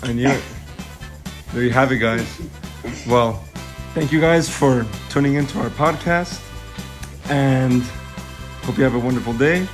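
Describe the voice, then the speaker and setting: A man's voice: mid-range voice, a little slow, long pauses, over music. Young man hosting a casual podcast. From Mexican-American from West Texas. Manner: reads questions from a script. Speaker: podcast host.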